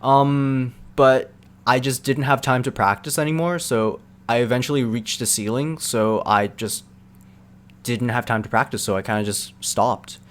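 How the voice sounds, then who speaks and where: A young man answering viewers' questions in a relaxed, rambling live-stream chat, full of fillers and quick laughs. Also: mid-range voice.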